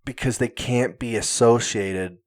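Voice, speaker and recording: A man's voice: mid-range voice, steady tone, a little slow, a little mellow. A man co-hosting a casual podcast, telling a story at length while he hunts for words and lets out a chuckle now and then. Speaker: podcast co-host.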